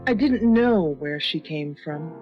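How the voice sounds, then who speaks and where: A woman reading a scripted first-person horror story in a measured, dramatic, suspenseful manner. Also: low-pitched, animated. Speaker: story reader. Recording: dull lo-fi audio with music.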